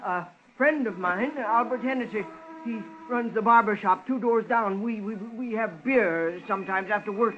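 An actor playing a timid, frightened pawnshop owner in an old-time radio crime drama, nervous, stammering and eager to placate. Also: male voice, sits high, moderate pace, dull audio, a little hiss or hum, natural voice. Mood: nervous, placating. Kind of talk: 1940s-50s radio crime acting.

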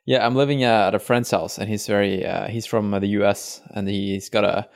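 A man's voice: on the low side, fairly even intonation, a little fast, gravelly. A man hosting a casual conversation, easygoing and hesitant, with many fillers as he finds his words. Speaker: podcast host. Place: friend's house, video call.

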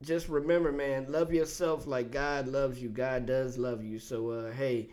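A man hosting a casual talk show, relaxed and friendly, with a slangy way of talking. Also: medium pitch, unhurried. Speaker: podcast host.

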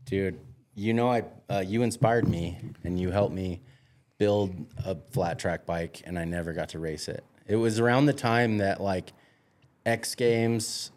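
Man, 40, podcast host, very low voice, chatty, enthusiastic. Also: fairly even intonation, darkish tone. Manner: chatty, enthusiastic, quick to chime in. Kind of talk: casual conversation.

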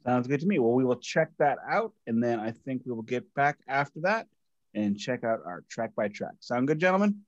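A man's voice: mid-range voice, lively intonation, normal speed, no echo, highs cut off. A man co-hosting a podcast, chatting enthusiastically with a joking touch. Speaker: podcast co-host. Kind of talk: casual podcast chat.

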